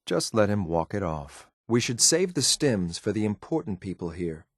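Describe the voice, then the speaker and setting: An actor playing a loyal, coldly disdainful synth soldier in a video game, speaking in a clipped, military, deferential but blunt way. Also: male voice, on the low side, very animated, moderate pace, no room sound, clean studio sound. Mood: disapproving. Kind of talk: video game companion voice lines.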